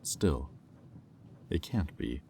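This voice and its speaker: A man playing a smug, predatory demon in a roleplay audio drama, teasing and taunting in a silky manner with deliberate pauses. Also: rumbling low, lively intonation, vocal fry, dark tone, a little hiss or hum, heavily acted. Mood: intrigued, puzzled. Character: smug, predatory male demon toying with a captive angel.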